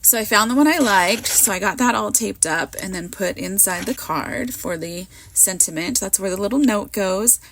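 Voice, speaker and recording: A woman in her thirties or forties, hoarse from a cold, rambling in a chatty, self-mocking way as she narrates her card making at a craft table. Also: mid-range voice, moderate pace, few pauses, a bit bright, slight background noise. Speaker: card maker. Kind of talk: casual crafting voiceover.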